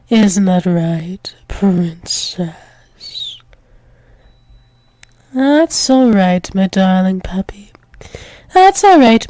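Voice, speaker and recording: A woman's voice: mid-range voice, dark tone, no echo. A woman playing a dominant, doting female alpha werewolf demon in an intimate ASMR roleplay, coaxing and soothing, often dropping into whispers. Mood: whispered, coaxing.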